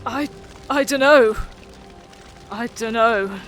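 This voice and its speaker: A man's voice: very high-pitched, takes it slowly, a bit bright, soft background music, full character voice. A man playing a frantic, blunt, sweary teenage boy in an audio drama. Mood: tense, blunt. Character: panicked, sweary British teenage boy searching for sister.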